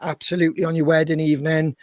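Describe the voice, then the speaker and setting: A hoarse, croaky man hosting his band's podcast in a chatty way, with frequent ums. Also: fairly high pitch, level tone, very fast, no room sound, studio quality. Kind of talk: casual chat.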